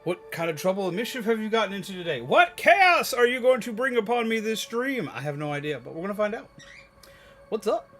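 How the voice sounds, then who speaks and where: Playful high-pitched man, livestreaming. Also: sing-song, brisk, fairly bright tone. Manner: playful, theatrical, exaggerated emphasis. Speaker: livestream host. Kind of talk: casual livestream chat with viewers. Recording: music playing behind the voice. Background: background music.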